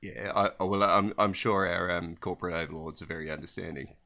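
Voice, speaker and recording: A man's voice: sits low, steady tone, a little fast, creaky, pushed and bright. A man, around his thirties or forties, co-hosting a podcast in casual chat, hesitant and understated. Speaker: podcast co-host.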